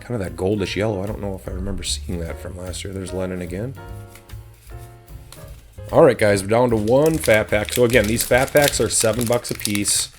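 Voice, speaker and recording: Man, deep voice, a bit hoarse, laid-back rambling host, lots of ums. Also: brisk, faint music behind. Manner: relaxed and rambling, with frequent ums and restarts. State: slightly hoarse. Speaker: host of a card-opening channel. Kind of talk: casual chat while opening card packs.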